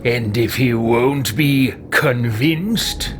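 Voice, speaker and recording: A man's voice: medium pitch, very slow, noisy background, voice pushed down. A man voicing a haughty, menacing evil sorcerer in improvised video game roleplay, theatrical and imperious. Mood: scheming.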